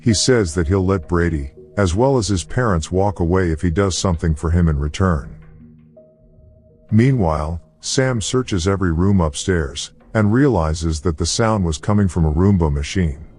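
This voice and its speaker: Guy doing movie recap narration, really deep voice, bit of music underneath. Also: expressive, normal speed, a little mellow. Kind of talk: scripted narration.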